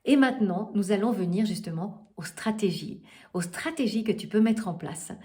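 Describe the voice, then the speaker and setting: A woman's voice: mid-range voice, animated, normal speed, warm tone. A middle-aged woman life coach giving a motivational coaching talk, earnest and hesitant, with many fillers and restarts. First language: not French.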